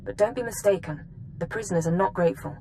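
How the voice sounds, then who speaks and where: An actress playing a stern, formal intelligence handler issuing mission orders in a video game. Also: female voice, lowish voice, fast, dark tone, a little hiss or hum, close to an everyday voice. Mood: stern, serious. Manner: formal, authoritative.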